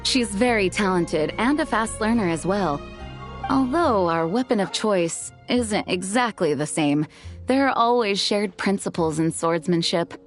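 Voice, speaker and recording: An actress playing a patient, composed knight master in a video game, speaking in a measured, encouraging, instructive way. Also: female voice, medium pitch, expressive, faint music behind, lightly acted. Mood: calm, encouraging.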